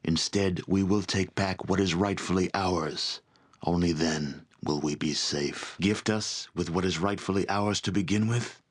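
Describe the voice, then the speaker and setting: Proud barbarian warlord, very low pitch, gravelly rasp, imperious, measured, contemptuous, fantasy game. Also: male voice. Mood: stern, defiant. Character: proud, domineering barbarian chieftain possessed by a vengeful dragon spirit. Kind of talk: dramatic video game voice acting.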